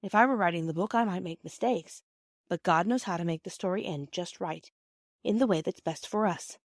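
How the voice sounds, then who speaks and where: A woman voicing a gentle, patient British mother in a storybook, reasoning tenderly and in measured phrases. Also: lowish voice, no room sound, clean studio sound. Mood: tender, wistful.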